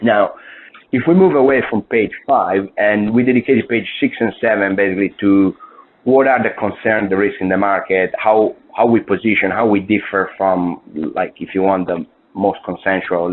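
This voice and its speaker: A man's voice: fairly low pitch, steady tone, moderate pace. A man presenting on a conference call as a fund's investment officer, talking through views with repeated words and restarts. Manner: fillers and restarts, emphatic repetition. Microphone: conference call phone line. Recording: tinny phone line.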